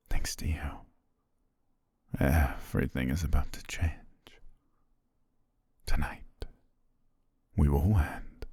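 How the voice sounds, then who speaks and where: A very low, gravelly, husky voice: a man playing a formal, devoted butler murmuring to his princess bride, slow and hushed with a hint of quiet possessiveness. It sounds close and completely dry, like an intimate audio drama. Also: no room sound, full character voice. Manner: soft, formal, half-whispered delivery. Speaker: ASMR roleplay voice actor. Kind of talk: intimate ASMR roleplay monologue.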